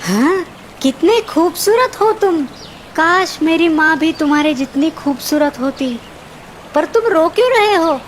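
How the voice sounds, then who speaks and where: A woman's voice: very high-pitched, animated, measured pace, a little hiss or hum. A woman voicing an emotional, petulant young boy in a children's cartoon, whiny and dramatic. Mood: wondering. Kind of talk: Hindi children's cartoon dialogue.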